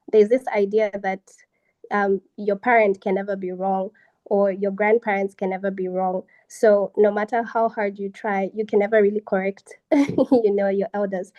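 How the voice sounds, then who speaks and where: A young woman moderating a conversational panel, speaking in a measured way with hesitant pauses. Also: medium pitch, warm tone, lo-fi sound. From Zambia.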